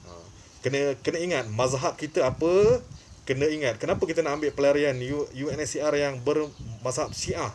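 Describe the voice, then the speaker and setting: A man's voice: fairly high pitch, moderate pace, darkish tone, a little hiss or hum. A man with a Malaysian accent giving informal, opinionated commentary in an emphatic, repetitive way, with frequent short filler tags. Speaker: online commentary channel host.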